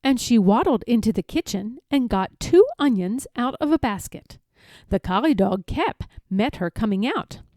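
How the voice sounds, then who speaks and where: A woman reads a children's story aloud with very lively, swooping intonation. Her voice is fairly high yet mellow, and the recording is close and dry. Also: moderate pace, no room sound.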